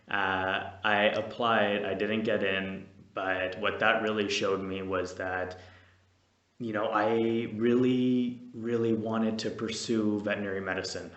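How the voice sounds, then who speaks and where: A man's voice: low voice, a bit bright. A young man, vlogging casually and a bit nervously, rambling with many fillers and restarts. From Canada. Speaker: vlogger, veterinary student. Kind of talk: casual to-camera vlog. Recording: echoey room, distant mic.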